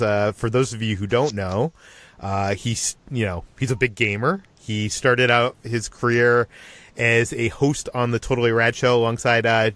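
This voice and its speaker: Halting male podcast host, dry close sound. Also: medium pitch, a bit bright, no echo. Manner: halting, stammering delivery. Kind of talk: casual podcast discussion.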